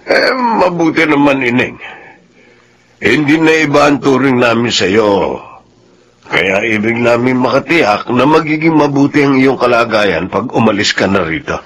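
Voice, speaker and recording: An actor playing a gentle, concerned old father in a radio drama, speaking in a halting, tender, paternal way. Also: mid-range voice, animated, breathy edge. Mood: concerned, relieved. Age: older. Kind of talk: Filipino radio drama acting.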